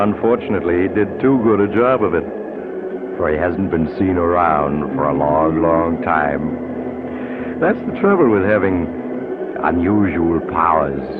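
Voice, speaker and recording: Sly creepy mystery host, really low voice, tinny old radio with loud noise. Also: male voice. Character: sinister male narrator, a mysterious night-train traveler. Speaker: host of the series. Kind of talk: 1940s radio suspense narration.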